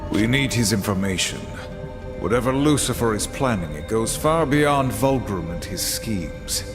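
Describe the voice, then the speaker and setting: A man's voice: fairly low pitch, expressive, unhurried. An actor voicing a grim, duty-bound warrior horseman in a video game, stern, humorless and formal in his speech. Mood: solemn. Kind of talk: video game dramatic acting. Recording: long reverb, loud game music behind.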